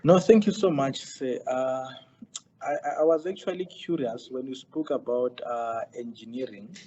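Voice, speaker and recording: A man's voice: mid-range voice, normal speed, a little mellow, dull audio, a little hiss or hum. A man hosting an interview, polite and formal, with frequent hesitations. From South Africa. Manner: polite, formal, many fillers. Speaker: interview host.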